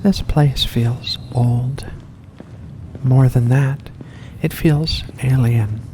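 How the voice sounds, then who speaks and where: Someone doing a creepy courteous vampire for asmr, teasing, really slow talking, medium pitch, bit of noise behind. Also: steady tone, warm tone. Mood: eerie, hypnotic. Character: seductive mysterious vampire host luring in a guest. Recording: faint background noise.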